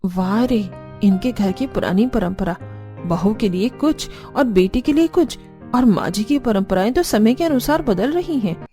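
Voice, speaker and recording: A woman's voice: mid-range voice, animated, measured pace, mellow, faint music behind, close to an everyday voice. A woman voicing aggrieved, pleading young women of a household in a Hindi cartoon family drama. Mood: bitter muttering. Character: young daughters-in-law and pampered daughter, aggrieved, pleading.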